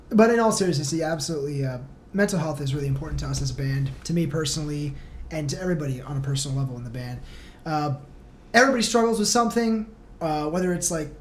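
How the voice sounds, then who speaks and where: Male band-singer type, slightly high voice, earnest and informal interview talk, faint noise behind. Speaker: band singer, podcast guest. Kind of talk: casual podcast chat.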